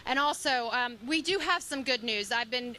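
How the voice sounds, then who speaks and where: A woman's voice: on the high side, normal speed, bright, forceful tone. A woman giving an eyewitness news report outdoors in the field. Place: outdoors at a disaster scene. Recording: faint outdoor location noise.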